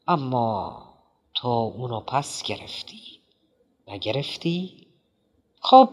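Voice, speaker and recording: A man reading an audiobook, voicing a rattled, eccentric middle-aged man who pleads and mocks in a jittery, high-strung way. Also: fairly bright tone. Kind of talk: audiobook character voice.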